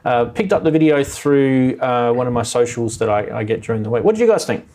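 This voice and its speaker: A man's voice: medium pitch, animated, fairly quick, a little mellow. A man hosting a live music tech show, rambling enthusiastically and doubling up words for emphasis. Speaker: podcast host. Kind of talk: casual live show chat.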